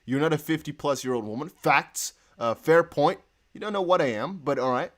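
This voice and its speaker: A young man with a Dutch accent hosting a casual livestream, reading chat aloud and breaking into excited whoops. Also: on the high side, normal speed. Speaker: crypto livestream host.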